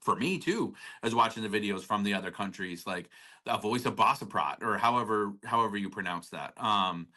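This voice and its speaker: A man's voice: fairly low pitch, fairly quick, pushed and bright. A man co-hosting a casual music podcast, talking in a rambling, slangy way and calling his partner "baby". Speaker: podcast co-host. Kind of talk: casual chat.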